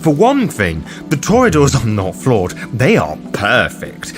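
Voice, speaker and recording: Man, scripted video essay narration, animated intonation, arch and theatrical, background music. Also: medium pitch, measured pace. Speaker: narrator of a roleplaying guide.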